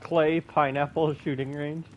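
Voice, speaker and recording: A man giving casual gameplay commentary in a slangy, excitable way. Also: a little high, unhurried, muffled recording, very noisy. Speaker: gaming video player.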